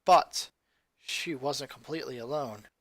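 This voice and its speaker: A narrator reading scripted fan fiction aloud in an expressive storytelling style. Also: medium pitch, a little slow, dry recording, studio quality.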